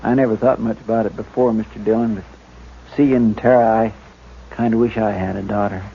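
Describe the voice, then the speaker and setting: A man's voice: fairly low pitch, fairly even intonation, moderate pace, close to an everyday voice. A man playing a loyal, folksy deputy who speaks earnestly and deferentially in a 1950s radio drama. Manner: folksy, deferential, says sir often. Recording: dull old radio recording with hiss.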